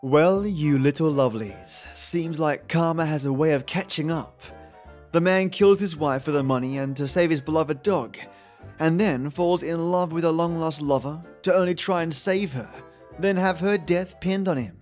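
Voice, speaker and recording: Higher-pitched chummy male podcast host. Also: normal speed, a little mellow. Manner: chummy, affectionate address to listeners. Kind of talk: conversational podcast commentary. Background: music.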